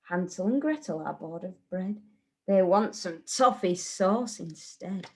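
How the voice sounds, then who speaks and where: A woman reads a rhyming tale for young children. Her voice sits fairly high and she goes very slowly, with a deliberate, sing-song lilt like a teacher at story time.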